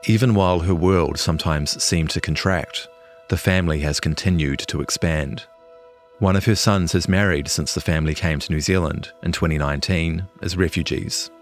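A man's voice: sits low, normal speed, soft background music. A man reading a scripted longform news feature as a journalist narrator.

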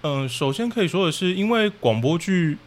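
A man's voice: high-pitched, expressive, moderate pace. A man with a Taiwanese Mandarin accent, a podcast guest explaining things in casual interview talk. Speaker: podcast guest, audio drama troupe leader.

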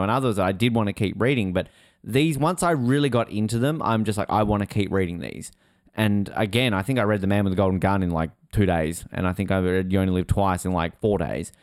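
A man's voice: lowish voice, a little mellow, dry recording, clean studio sound. A man talking informally as a podcast co-host in casual chat.

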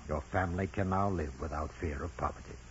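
An actor playing a kindly, solemn parish priest in 1940s radio drama style, measured and gently paternal. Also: male voice, a bass voice, noisy background. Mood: gentle, probing. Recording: dull vintage radio recording, music behind.

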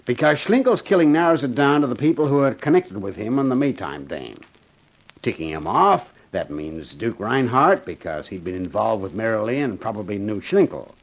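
An actor playing a shrewd, dry, blunt police lieutenant with slangy talk in a 1950s radio detective drama. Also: male voice, fairly low pitch, very animated, fairly quick, poor audio. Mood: businesslike. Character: shrewd, sardonic male police homicide lieutenant. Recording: vintage 1950s radio recording.

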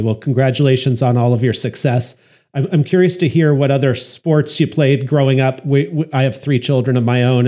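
Mellow-voiced male podcast host. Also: mid-range voice. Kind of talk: scripted intro then interview.

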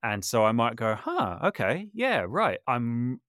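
A man's voice: medium pitch, huge pitch swings, measured pace, a bit bright, dry recording, clean studio sound. A man chatting casually as an interview guest, self-deprecating and talkative, often restarting his sentences. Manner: chatty, self-deprecating, hesitant with false starts. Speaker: podcast guest, composer and voice artist.

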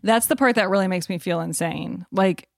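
A woman's voice: fairly low pitch, normal speed, gravelly, no echo, studio quality. A woman co-hosting a podcast, talking informally and loosely as she thinks aloud. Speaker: podcast co-host. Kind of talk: casual chat.

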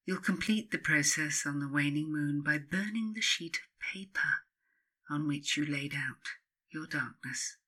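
A woman narrating a spiritual self-help book as its author, in a solemn, deliberate, incantatory way. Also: low voice, sharp tone. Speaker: author and podcast host reading their own book.

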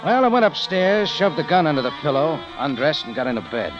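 A man's voice: fairly high pitch, very animated, fairly quick. A male actor with an American accent playing a tough, weary adventurer narrating his own story in a wry 1940s radio drama style. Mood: weary, brooding. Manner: hard-boiled, wry, dramatic. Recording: dull vintage radio recording, music behind.

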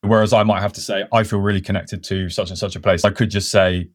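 A man with a very low voice talks as a podcast guest. He thinks aloud at an unhurried, reflective pace and sometimes starts a sentence over. The sound is dry and close. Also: level tone, no room sound. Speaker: podcast guest, conservation worker. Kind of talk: casual conversational interview.

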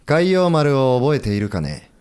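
A resolute rebel navy commander, played by a man, in a historical audio drama. His delivery is highly animated, with formal, assured phrasing that sets out to persuade. The pitch is medium and moves widely. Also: normal speed, smooth, close to an everyday voice. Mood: insistent, persuasive. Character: resolute, Western-minded navy commander leading a rebel republic.

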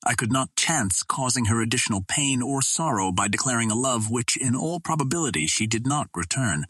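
A man reading an adventure novel aloud as first-person narration, in a measured, earnest literary manner. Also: mid-range voice, lively intonation, a bit bright, husky, no echo, clean studio sound. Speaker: audiobook reader.

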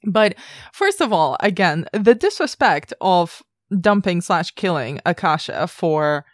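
A woman with a notably low voice talks casually as co-host of a film podcast. The sound is dry, with almost no room around her. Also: sing-song, measured pace, no echo.

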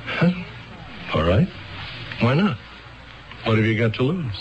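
Flustered lawyer guy, stammery, wry, pitch jumps all over, muffled old radio mystery show. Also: sing-song, measured pace, a little hiss or hum. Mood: wry, incredulous. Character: flustered, incredulous male defense attorney. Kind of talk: radio mystery drama acting.

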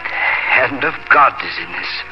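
A gentle middle-aged colonial doctor, acted by a man speaks weakly and haltingly, as a dying father would. His voice is of medium pitch, in a dull 1950s radio drama recording with music. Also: lively intonation, close to an everyday voice. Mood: pleading, earnest.

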